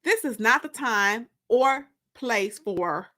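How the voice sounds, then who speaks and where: A woman's voice: a little high, lively intonation, slow pace, bright, forceful tone, exaggerated voice. A woman voicing a stubborn, wounded young woman who speaks with indignant defiance in a dramatic audiobook reading.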